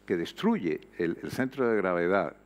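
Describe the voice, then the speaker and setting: An older man with a low voice that rises and falls widely as he presses his points. He talks reflectively on a panel, pausing and restarting, into a headset mic on a stage before an audience. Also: very animated. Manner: reflective, emphatic, frequent hesitations. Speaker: panel guest. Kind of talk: panel discussion.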